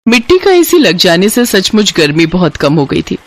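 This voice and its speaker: A woman's voice: lowish voice, sing-song, moderate pace, no room sound. A woman narrating a scripted children's moral story.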